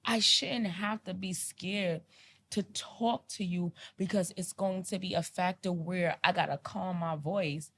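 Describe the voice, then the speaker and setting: Impassioned woman pleading, low voice. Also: steady tone, a little slow, a bit bright, breathy edge. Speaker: podcast co-host. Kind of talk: earnest podcast discussion.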